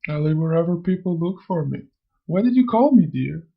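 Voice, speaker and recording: A man voicing a sly, amused old spirit in a motion comic, lecturing theatrically in a gravelly, husky voice. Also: on the high side, animated, brisk, muffled recording. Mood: teasing, inviting. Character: sly, amused old male spirit who preaches and taunts. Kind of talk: scripted motion comic dialogue.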